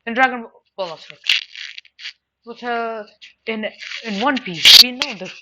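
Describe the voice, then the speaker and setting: A woman's voice: fairly high pitch, unhurried. A woman giving a casual, unscripted opinion review, rambling and repeating words emphatically for stress. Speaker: anime and manga reviewer. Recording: dull lo-fi mic with faint noise.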